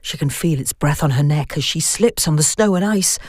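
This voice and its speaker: Woman narrator, low pitch, measured, suspenseful horror storytelling, dry close sound. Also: darkish tone, husky, dry recording.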